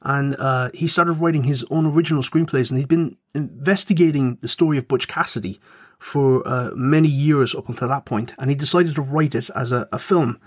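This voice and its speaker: Give me a measured man, mid-pitched and recorded with no room echo. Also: slightly rough, studio quality. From Ireland, Cork. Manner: measured, informative, builds up clues. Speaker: podcast co-host, screenwriter. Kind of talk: casual chat with storytelling.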